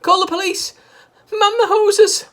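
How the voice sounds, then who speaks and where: A frantic, wailing wife from a children's story, played by a woman. Her voice jumps high and loud, and it sounds shrill and alarmed all the way through. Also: fairly even intonation, a little slow. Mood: panicked. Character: panicky middle-aged wife, wailing and shrieking.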